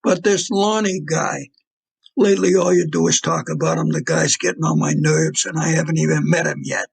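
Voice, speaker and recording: A man acting in an audio play as an irritable, menacing mob fixer boyfriend, with blunt, slangy talk and a gravelly, husky voice. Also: on the high side, fairly even intonation, normal speed, heavily acted. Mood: defensive.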